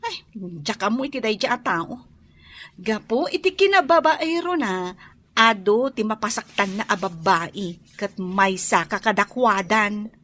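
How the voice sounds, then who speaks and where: An actress playing an expressive young best friend who gives caring advice in a radio drama, with an Ilocano accent. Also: female voice, mid-range voice, a little slow. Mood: concerned, advising.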